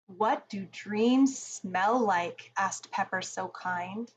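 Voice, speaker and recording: A woman reading a rhyming children's picture book aloud in a deliberate, expressive storytelling manner. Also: medium pitch, slight background noise.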